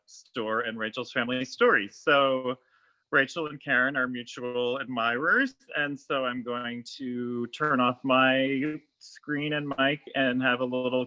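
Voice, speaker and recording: A man's voice: mid-range voice, pushed and bright. A man hosting an online event, giving a semi-formal introduction of speakers over a dull video-call connection.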